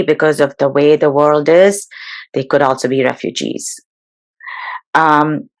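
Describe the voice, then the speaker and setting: A woman hosting a webinar over a video call, introducing and interviewing a guest in a measured, slightly formal way with occasional fillers. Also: deep voice. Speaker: webinar host.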